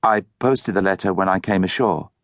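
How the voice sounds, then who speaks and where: A man's voice: low-pitched, moderate pace, no echo, clean studio sound. A man voicing an earnest, polite young Englishman, speaking formally and articulately in an audiobook novel. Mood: earnest, respectful.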